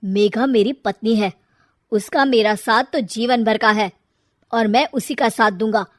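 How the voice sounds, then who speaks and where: A woman's voice: a little high, measured pace, no room sound, natural voice. A self-righteous young man from a cartoon family tale, voiced by a woman. He talks sternly and accusingly, like a grown son laying down the law, in a dry recording. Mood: stern, angry. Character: self-righteous young adult son, scolding his parents. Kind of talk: cartoon moral-story character voice.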